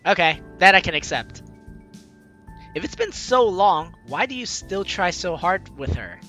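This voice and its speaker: Playthrough host: bright, forceful voice, very lively pitch, expressive reading mixed with off-the-cuff reactions, faint music behind. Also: unhurried. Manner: exclaims and voices dialogue expressively. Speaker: gaming video host reading and reacting to a visual novel. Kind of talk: playthrough narration with animated ad-libbed reactions.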